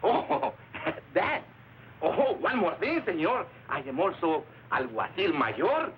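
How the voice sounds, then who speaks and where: An actor playing a genial, self-important Mexican villager with an accent, mixing broken English and Spanish in an old-time radio drama. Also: male voice, high-pitched, very animated, takes it slowly, exaggerated voice. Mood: genial, proud. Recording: noisy, dull old radio recording.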